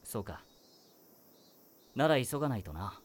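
An actor voicing a weary male high-school teacher who talks in a dry, wry, world-weary way. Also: very animated, a little slow. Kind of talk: voice drama acting.

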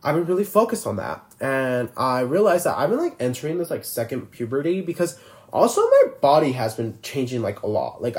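A man's voice: mid-range voice, a little mellow. A twenty-year-old man hosting a casual solo podcast, rambling and filler-heavy, constantly restarting his sentences. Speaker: podcast host.

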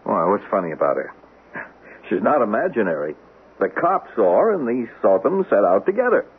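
A man's voice: on the low side, big pitch swings, moderate pace. An actor playing a matter-of-fact, informal man out searching for a friend in an old-time radio drama. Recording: tinny, narrow-band old radio recording, hiss.

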